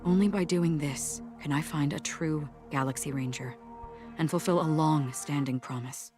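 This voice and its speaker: Actress as enigmatic exile, low female voice, grave, calm, formal, video game, background music. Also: moderate pace, lightly acted. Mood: calm, solemn.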